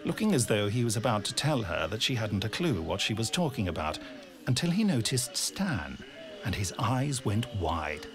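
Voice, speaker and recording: A man's voice: on the low side, sing-song, normal speed, crowd noise. A man reading scripted story narration in a dramatic storytelling style. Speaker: audiobook narrator.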